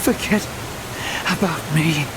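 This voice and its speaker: A man playing a wounded, dying soldier in a video game, desperate and haunted, labouring to get words out. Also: on the high side. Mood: pained, desperate. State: strained, labouring to speak. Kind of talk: dramatic video game voice acting. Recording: clear hissing rushing noise.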